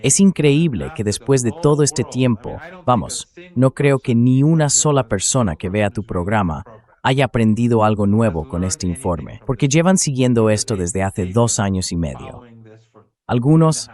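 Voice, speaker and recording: A man speaks as a political commentator in an interview, with a fairly low voice and highly varied intonation. His delivery is conversational and emphatic, wandering into asides. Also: animated, unhurried, a little mellow. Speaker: guest commentator, professor.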